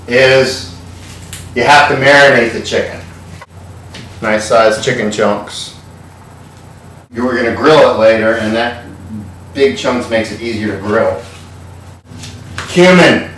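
A man's voice: mid-range voice, fairly bright tone, very echoey, far from the mic, slight background noise, low-quality recording. A man hosting a comedic home cooking show, talking in a sardonic, irreverent way and swearing casually, in a home kitchen. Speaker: host.

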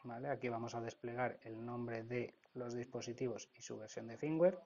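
A man with a Spanish accent from Spain walks through a software procedure step by step, often checking in with "¿vale?". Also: mid-range voice, fairly even intonation, moderate pace, dull audio. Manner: explanatory, frequent "¿vale?" check-ins. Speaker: product trainer. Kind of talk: screen-recorded software tutorial.

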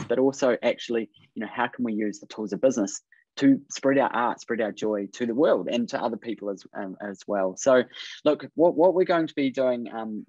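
A man's voice: mid-range voice, darkish tone, no echo. Upbeat Kiwi man in his thirties, coaching host on a dull, dry video call. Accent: New Zealand. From New Zealand. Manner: upbeat and encouraging, many fillers and restarts. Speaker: business coach, webinar host. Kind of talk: casual webinar presentation. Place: indoor room on video call.

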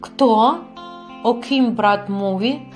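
Snobby rich wife woman acting, high voice, draws it out slow, fussy, cartoon. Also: faint music behind. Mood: suspicious, demanding. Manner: haughty, demanding. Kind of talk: amateur stage-play acting in a cartoon.

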